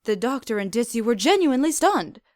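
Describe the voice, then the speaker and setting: A woman reading fan fiction aloud as an expressive story narrator. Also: a little high, a little fast, no room sound, clean studio sound.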